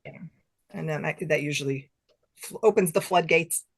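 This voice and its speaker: A woman's voice: low voice, moderate pace, creaky, husky, no room sound. A middle-aged woman chatting casually as an interview guest, with a self-deprecating manner. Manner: self-deprecating, chuckles between remarks. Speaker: guest author.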